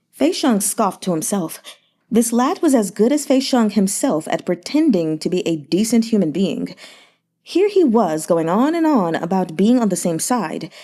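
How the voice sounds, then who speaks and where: Woman, audiobook reader, measured, warm-toned, expressive pitch. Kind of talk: read-along novel narration.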